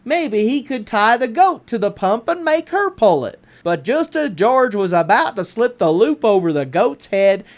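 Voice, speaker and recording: A woman reading a children's picture book aloud as an expressive bedtime story, drawing out words for emphasis. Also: mid-range voice. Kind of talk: animated read-aloud bedtime story narration.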